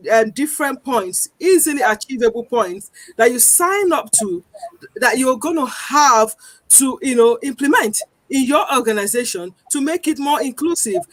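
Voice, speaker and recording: A woman with a Nigerian accent, speaking earnestly as a guest on a panel discussion, pausing often with ums. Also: high-pitched, no room sound, poor audio. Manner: earnest, deliberate, frequent pauses with um.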